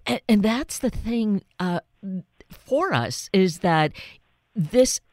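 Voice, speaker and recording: A woman's voice: mid-range voice, lively intonation, takes it slowly, darkish tone, no room sound, studio quality. A woman radio host asking meandering, appreciative questions. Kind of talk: radio interview.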